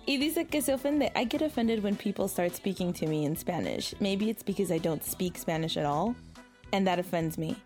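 Woman co-host from Mexico, easygoing, quick to laugh, switches between Spanish and English. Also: mid-range voice, expressive, darkish tone, soft background music. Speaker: podcast co-host. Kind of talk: casual chat.

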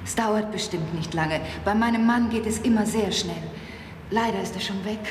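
A woman's voice: medium pitch, normal speed, noisy background, natural voice. A woman playing a harried, chattering housewife and mother in a German radio drama. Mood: flustered, pleading.

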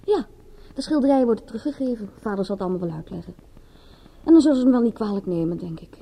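An actress playing a bored, guilty young fashion model in a radio drama, with a breathy, languid, confiding delivery. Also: female voice, mid-range voice, expressive, fast, dark tone. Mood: light, wistful. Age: young adult. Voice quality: husky, breathy. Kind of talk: radio drama acting in Dutch.

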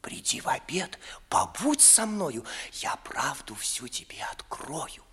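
Вкрадчивого секретаря с сипловатым певучим голосом. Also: male voice, on the high side, sing-song, takes it slowly, husky, whispered, exaggerated voice. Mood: wheedling, amorous. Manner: soft-spoken, ingratiating, mincing. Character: Molchalin, obsequious, sly young secretary. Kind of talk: 1950s theatrical radio drama in verse.

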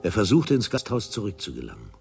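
A man's voice: fairly low pitch, wildly swooping pitch, quick pace, gravelly, darkish tone. A man narrating a literary radio drama in a formal, solemn manner. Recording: music behind the voice.

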